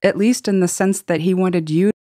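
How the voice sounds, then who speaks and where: A woman reads scripted podcast fiction in a deep, mellow voice. The sound is very dry, free of room echo. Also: steady tone, normal speed.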